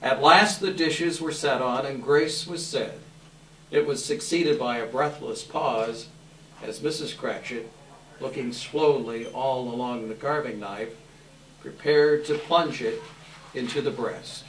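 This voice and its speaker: A man's voice: mid-range voice, slow, fairly bright tone, a little hiss or hum. Echoey, distant man narrating a classic story. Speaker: narrator, readers theater volunteer. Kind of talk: live dramatic reading of classic story. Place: live event room. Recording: echoey room, distant microphone.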